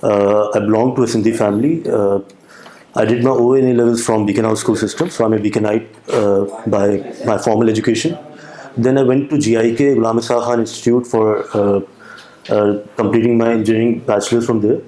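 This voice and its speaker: Hesitant young Pakistani man, mellow voice. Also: fairly low pitch, steady tone, fairly quick, reverberant, low-quality recording. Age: young adult. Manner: hesitant, many "uh"s and restarts. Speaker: guest entrepreneur. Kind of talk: interview answers.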